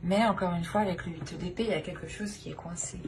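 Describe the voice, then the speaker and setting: A woman's voice: fairly low pitch, fast. A woman giving an improvised tarot reading, speaking directly to the listener in hesitant, repetitive phrases. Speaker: tarot reader. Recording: clear background noise.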